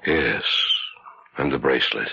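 A man's voice: a bass voice, unhurried, vocal fry, breathy edge, heavily acted. An actor playing an anxious man besieged by a monster, in dramatic old-time radio horror style. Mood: somber. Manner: dramatic, apprehensive. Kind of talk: 1940s radio horror acting. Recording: muffled old radio recording.

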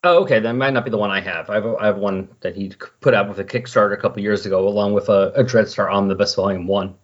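A man's voice: on the low side, fairly even intonation, fast talker. A man chatting casually and conversationally as a podcast co-commentator, rambling a little as he explains things. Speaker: podcast co-commentator on comic books. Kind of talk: casual chat, recounting comic book plots. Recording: slightly dull, lo-fi audio.

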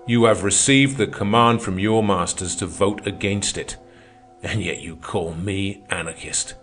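A man's voice: lowish voice, measured pace, a bit bright. Man voicing a fiery scornful orator. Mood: denouncing. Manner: scornful, declamatory, thundering. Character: fiery defiant male socialist orator. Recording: music playing underneath.

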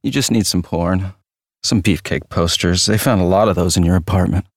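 A man's voice: deep voice, moderate pace, no room sound, studio quality, natural voice. A man playing an obsessive, crude true-crime fan in an audio drama, questioning insistently. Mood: goading. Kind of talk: dramatic audio play dialogue.

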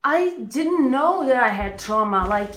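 A woman's voice: sits high, expressive, unhurried, fairly bright tone. A woman from Brazil with a Brazilian accent, a native Portuguese speaker, giving conversational answers as an interview guest. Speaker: podcast guest, coach and therapist. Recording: roomy, echoey room sound.

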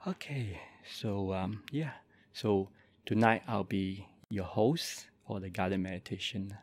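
A Buddhist monk in his forties from Malaysia, speaking softly and calmly as he introduces and leads a guided meditation. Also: on the low side, husky, no echo. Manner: slow, soft-spoken and calm, with gentle pauses.

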